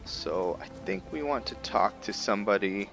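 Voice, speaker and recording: A man with a low voice hosts a gameplay video in a casual, conversational way. He thinks aloud in a laid-back manner, with faint game music playing behind him. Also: expressive, normal speed, gravelly. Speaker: gaming video host. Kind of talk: casual roleplay gameplay commentary.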